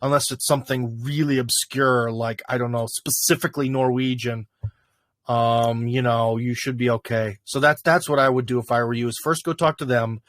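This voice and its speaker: Male livestream host, middle-pitched, loose and rambling, dead dry sound. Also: steady tone, moderate pace, fairly bright tone, no room sound. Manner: rambling, filler-heavy, thinking aloud. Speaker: livestream host answering viewer questions. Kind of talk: casual livestream chat.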